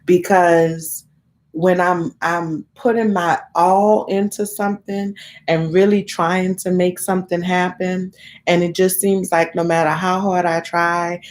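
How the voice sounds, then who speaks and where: A woman's voice: fairly low pitch, little pitch movement, unhurried. A woman in her thirties with a congested cold, talking candidly and rambling to viewers on a live stream. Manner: rambling, candid, swears casually. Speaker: vlogger hosting a live stream.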